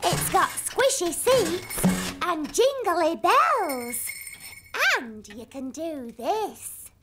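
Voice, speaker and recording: A woman's voice: extremely high pitch, expressive, very slow, noisy background, full character voice. A woman voicing an exuberant, demanding little princess of about four in a children's cartoon. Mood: delighted. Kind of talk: animated children's cartoon acting. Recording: loud background music.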